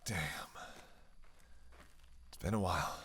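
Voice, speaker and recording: A man's voice: slow, a bit bright, slight background noise. A man playing a witty, flirtatious, weakened male vampire in an intimate audio roleplay, with playful, sardonic delivery. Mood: weary, wry. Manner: playful, sardonic, murmuring asides and sighs.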